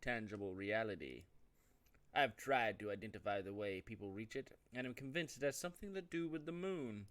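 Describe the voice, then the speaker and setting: A man hosting a let's-play, talking casually with rambling, jokey asides and reading on-screen text aloud. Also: mid-range voice. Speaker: host of a gaming show.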